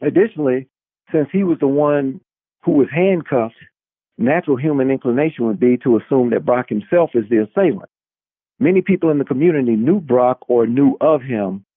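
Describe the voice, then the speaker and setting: A man narrates a novel as its author, telling the scene dramatically. His pitch is medium. The sound is very dry and a little band-limited, like radio. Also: normal speed, breathy edge, no room sound, narrow, tinny audio. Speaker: author reading own work.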